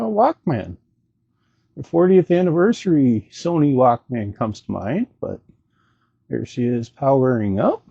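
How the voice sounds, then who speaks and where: A man gives a laid-back gadget demo in a folksy, hesitant manner. His voice is mellow and gravelly, moving freely up and down in pitch. He talks like a channel host showing a product off to viewers. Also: dry recording, highs cut off. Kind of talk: casual product demonstration.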